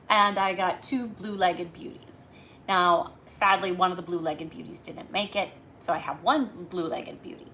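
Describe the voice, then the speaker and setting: Female livestreamer, bright voice, informal and chatty delivery, some noise behind her. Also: medium pitch. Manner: chatty, rambling, greeting viewers by name. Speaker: livestream host. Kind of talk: casual live chat with viewers.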